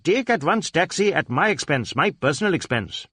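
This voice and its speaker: A man's voice: on the high side, big pitch swings, moderate pace, bright, forceful tone, breathy edge, dry recording, clean studio sound, voice pushed up, full character voice. A man voicing a wealthy businessman in an audiobook, urgent, desperate and commanding, in clipped phrases. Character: agitated wealthy Indian businessman, imperious and desperate.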